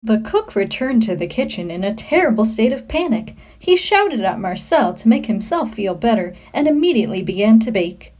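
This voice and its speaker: A woman reading a children's story like a teacher, with expressive, deliberate phrasing. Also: a little high.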